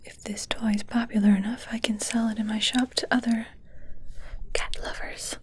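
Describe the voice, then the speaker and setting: A woman playing an evil witch shopkeeper in an ASMR roleplay, speaking in an unhurried, breathy whisper with a matter-of-fact cruelty. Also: a little high, flat delivery. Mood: playful, coaxing. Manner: unhurried, softly musing.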